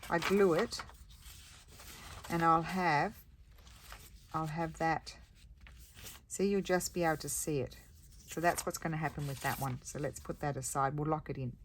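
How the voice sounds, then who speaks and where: A woman with a croaky voice, chatting casually and thinking aloud as she makes paper crafts at her craft table. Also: deep voice, fairly even intonation, unhurried, long pauses, a little hiss or hum. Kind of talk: casual crafting chat while working.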